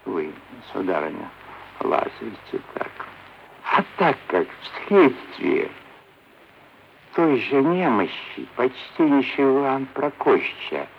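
An actor playing a scheming, pompous middle-aged official in a theatrical radio play, wheedling with unctuous, deliberate politeness. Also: male voice, medium pitch, creaky, full character voice. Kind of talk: theatrical classic Russian stage acting. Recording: dull vintage radio recording, faint hiss.

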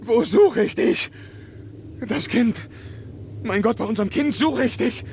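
Desperate young prisoner, raving, high-pitched, theatrical 1950s radio acting, heavy noise. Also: male voice. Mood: urgent anguish. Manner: theatrical, halting, swinging between whispers and cries. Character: anguished young condemned lover, feverish, raving and desperate. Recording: noisy 1950s radio drama recording.

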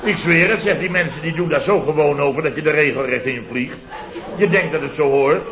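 An actor playing a pompous middle-aged building boss in a radio comedy, blustering indignantly and repeating himself. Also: male voice, fairly high pitch, fairly quick, crowd noise, natural voice. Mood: indignant storytelling. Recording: dull, lo-fi old radio recording.

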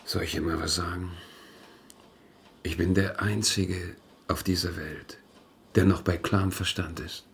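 Slow, gravelly corrupt official. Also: male voice, low-pitched, fairly even intonation, background noise. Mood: wheedling. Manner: musing, slow, wheedling. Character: corrupt, rambling, self-pitying official angling for bribes. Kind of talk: German radio drama acting.

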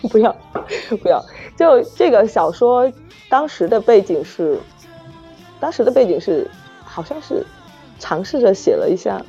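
A woman chatting casually as a guest author, halting and repeating phrases, with self-deprecating giggly humor. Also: medium pitch, very animated, mellow, husky. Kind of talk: casual unscripted chat. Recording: muffled lo-fi audio, music behind. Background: saxophone background music.

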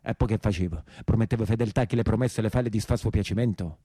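An actor playing an embittered imprisoned peasant brigand in a theatrical monologue, speaking emphatic, repetitive dialect with a southern Italian accent. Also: male voice, lowish voice, racing, dark tone, no room sound, clean studio sound, lightly acted. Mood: bitter, aggrieved.

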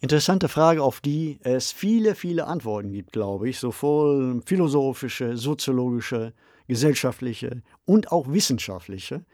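A man co-hosting a podcast discussion, speaking in a measured, formal, explanatory manner. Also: medium pitch, expressive, a little mellow, no echo, clean studio sound. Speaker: podcast co-host. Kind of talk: discussion of fiction and ideas.

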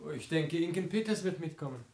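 An actor playing a breezy, candid young gentleman in a classic radio play, frank and lightly ironic. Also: a little high, lively intonation, quick pace, slight background noise.

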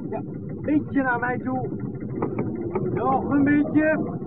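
A man's voice: extremely high pitch, steady tone, extremely slow, full character voice. An actor playing a commanding boatman in a 1960s radio drama, calling out short orders in a raised voice. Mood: urgent, commanding. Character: commanding boatman lowering a smuggled crate. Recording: noisy, tinny old radio recording.

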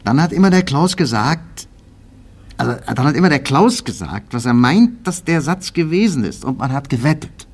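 A man's voice: on the high side, expressive, normal speed. An actor playing an old acquaintance reminiscing in an interview, colloquial and circling back over his phrases. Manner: colloquial, repetitive storytelling. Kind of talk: conversational recollection.

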